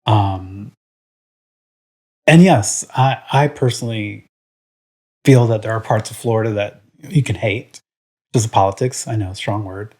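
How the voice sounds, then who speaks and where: A man's voice: fairly low pitch, measured pace, pauses often, darkish tone, breathy edge. A man from Puerto Rico co-hosting a podcast in casual chat, rambling with lots of "um" and "like" and speaking his mind frankly. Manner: rambling, full of "um" and "like"; frank and opinionated. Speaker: podcast co-host.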